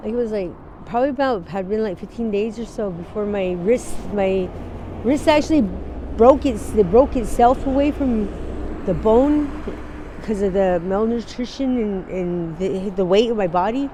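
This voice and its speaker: A woman in her forties from Wyoming, telling her story in a halting, rambling way during an outdoor street interview. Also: medium pitch, background noise. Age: 40s. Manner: rambling, halting, laughs easily.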